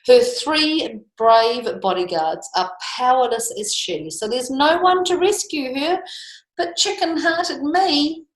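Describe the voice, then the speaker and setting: A woman's voice: high-pitched, animated, takes it slowly, pitched above the performer's own voice. A woman voicing a timid but gallant male dragon in a storybook, declaiming melodramatically. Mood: mournful. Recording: echoey room.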